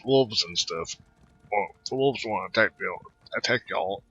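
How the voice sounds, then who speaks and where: A man's voice: fairly low pitch, normal speed, sharp tone, husky, highs cut off, faint music behind. A man giving casual, rambling game commentary, often repeating words and trailing off, into a close microphone. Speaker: gaming video host.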